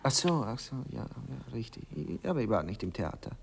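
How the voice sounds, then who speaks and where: An actor playing a self-important, touchy poet and lover in a 1960s radio play, languid and theatrical, often sinking to a murmur. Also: male voice, mid-range voice, very animated, a little fast, full character voice. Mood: touchy, dry. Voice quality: husky, breathy, gravelly.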